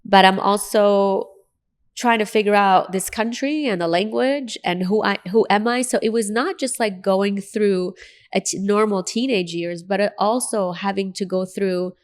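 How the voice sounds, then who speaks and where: A woman from Lebanon, a native Arabic speaker who sounds American, shares personal stories as an interview guest. Her voice has a medium pitch and a noticeable creak. She talks earnestly, repeating things for emphasis. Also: moderate pace.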